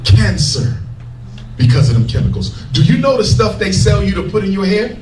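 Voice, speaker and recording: A man preaches to a crowd in a high, animated voice that swoops up and down as he drives each point home. He was recorded from a distance in a roomy, echoing hall, with steady noise audible behind him. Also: moderate pace. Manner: preaching, emphatic, jokes with the crowd. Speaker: speaker addressing an audience. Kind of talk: fiery public lecture.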